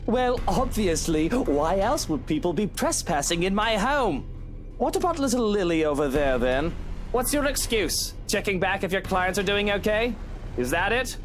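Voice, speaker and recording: A woman's voice: medium pitch, expressive, normal speed, soft background music, natural voice. A woman voicing a smarmy, menacing male villain in a visual novel, with mock-friendly sarcasm and indignation. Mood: sarcastic, indignant. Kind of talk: visual novel character acting.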